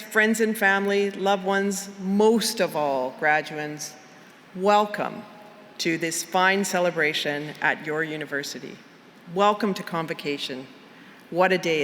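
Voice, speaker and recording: A woman's voice: fairly low pitch, fairly bright tone, a little hiss or hum. Middle-aged woman chancellor, formal. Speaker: university chancellor hosting a convocation. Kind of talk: formal ceremonial address. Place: outdoor university plaza with a large crowd. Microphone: podium microphone. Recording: long outdoor PA reverb, faint ambience.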